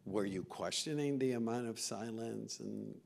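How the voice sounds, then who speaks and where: A man moderating a festival Q&A, asking halting, searching questions into a handheld mic. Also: on the low side, creaky, a little mellow. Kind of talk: interview questions. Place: festival stage in front of a backdrop.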